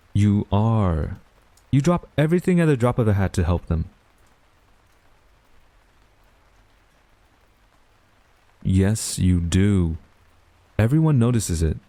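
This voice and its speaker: A man's voice: fairly low pitch, warm tone, no echo. A man acting a young university student in a romantic audio roleplay, a defensive, secretly smitten rival who grumbles and gets flustered. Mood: accusing, grumbling. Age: young adult. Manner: flustered, grumbling, halting denials.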